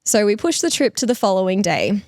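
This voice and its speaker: A woman's voice: medium pitch, big pitch swings, fairly quick, a little mellow, no room sound, studio quality. Woman with a slightly lost voice. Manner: laughs often, chatty. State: partly lost voice. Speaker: podcast co-host. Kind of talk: casual chat, reading listener stories aloud.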